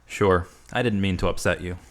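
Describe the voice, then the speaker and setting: Actor as devout gentle boyfriend, deep voice, earnest, measured, steady, reassuring, audio drama. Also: male voice, close to an everyday voice.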